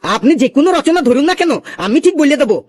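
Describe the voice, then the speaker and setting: A man's voice: extremely high pitch, expressive, quick pace, darkish tone. A man voicing a cheeky, boastful schoolboy in a comic cartoon, reciting with singsong eagerness.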